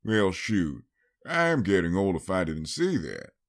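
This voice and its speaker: A folksy older stallion from an audiobook tale, acted by a man. He is good-natured, a bit cocky and fatherly, with an animated, swinging pitch and an affectionate, easygoing way of talking. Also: a little slow, full character voice. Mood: kindly.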